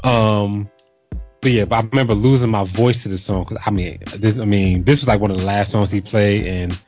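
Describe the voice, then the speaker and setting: A man's voice: on the low side, steady tone, fairly quick, darkish tone, dull audio, soft background music. A man co-hosting a casual podcast, telling stories animatedly and swearing freely. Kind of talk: casual chat, storytelling.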